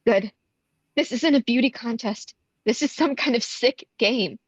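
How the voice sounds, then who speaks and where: A woman's voice: a little high, unhurried, slightly rough, no room sound, highs cut off. A woman playing a frightened but defiant young beauty pageant contestant, urgent and emotional, in a screenplay table read. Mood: startled.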